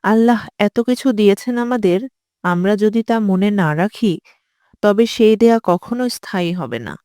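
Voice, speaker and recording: A woman voicing a gentle, devout mother who guides her child in a scripted cartoon. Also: mid-range voice, expressive, normal speed, clear, resonant tone, no echo, studio quality, natural voice. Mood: caring, instructive. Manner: nurturing, gently instructive.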